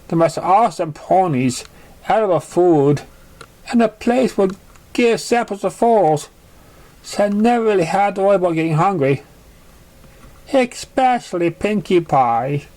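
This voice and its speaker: A young pony girl acted out by a man speaks in a high voice, halting and confessional. She is an orphan, wounded but plucky, telling her own story in a fan-made storybook reading. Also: animated, a little mellow. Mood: recounting, subdued. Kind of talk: amateur fan fiction reading.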